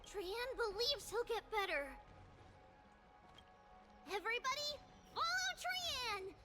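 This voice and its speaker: A woman voicing an excitable little girl in an anime game, with a childlike, sing-song, bubbly way of talking. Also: extremely high pitch, slow pace, soft background music, exaggerated voice. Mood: eager, earnest. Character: excitable little girl who speaks of herself in third person.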